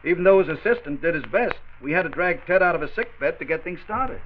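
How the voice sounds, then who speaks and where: An actor playing a loyal, deferential plant supervisor in a 1940s radio drama, earnest and apologetic. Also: male voice, a little high, animated, quick pace, slight background noise, close to an everyday voice. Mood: earnest, explaining. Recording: muffled 1940s radio transcription.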